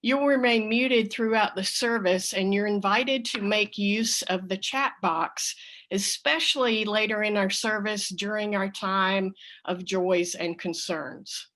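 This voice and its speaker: A woman minister giving a deliberate, welcoming opening address at a church service held over video call. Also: medium pitch, fairly bright tone.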